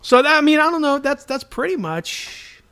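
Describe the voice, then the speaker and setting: Male podcast co-host, high-pitched voice, enthusiastic fan chat, slangy and self-deprecating. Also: very animated, normal speed, pushed and bright. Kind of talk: casual chat about superhero shows.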